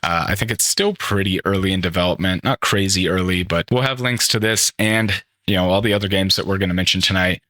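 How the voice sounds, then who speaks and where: Man, young adult, podcast host, rambling and excited, lowish voice, dry close sound. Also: brisk, dry recording. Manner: rambling, self-correcting, enthusiastic. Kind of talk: solo casual gaming podcast commentary.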